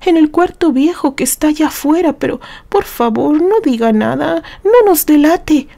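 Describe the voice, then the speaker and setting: A woman's voice: high-pitched, animated, normal speed, creaky, dark tone, pitched above the performer's own voice. A woman voicing a worried, apologetic small boy who pleads for his little sister in an audio story. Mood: pleading. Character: worried pleading small redheaded orphan boy, protective big brother. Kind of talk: audio story character acting.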